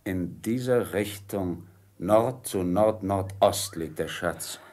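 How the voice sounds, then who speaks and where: An actor playing a hard, cunning, fearless pirate ringleader in a radio play, gruff and commanding. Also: male voice, sits low, slow, darkish tone. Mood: grim, defiant. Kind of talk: German radio play acting.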